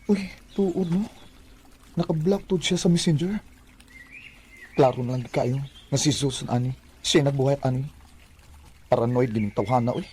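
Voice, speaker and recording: Lalaki nga nag-arte og emosyonal nga bayot sa dramang Bisaya, may gamayng saba. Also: male voice, medium pitch, moderate pace, pauses often, darkish tone, lightly acted. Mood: puzzled, annoyed. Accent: Cebuano Bisaya. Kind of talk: Bisaya radio drama acting. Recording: faint background noise.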